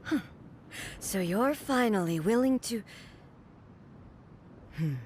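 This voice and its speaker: A woman's voice: mid-range voice, lively intonation, slow, a little mellow, a little hiss or hum. A woman playing a stately, solemn warrior deity in a video game, speaking in a formal, grave, declamatory way. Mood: solemn, measured. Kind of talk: scripted video game acting. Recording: music behind the voice.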